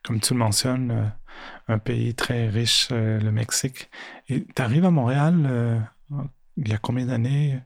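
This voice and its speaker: Guy hosting an interview, halting with lots of fillers, dry close sound. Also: mid-range voice, fairly even intonation, dry recording. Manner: halting, self-correcting with fillers. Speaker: interview host. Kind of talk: interview questions.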